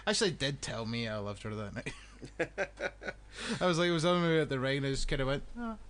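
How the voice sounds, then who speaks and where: A man's voice: mid-range voice, quick pace, fairly bright tone. A man co-hosting a wrestling podcast, chatting in a blunt, playful, sweary way. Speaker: podcast co-host. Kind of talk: casual wrestling chat.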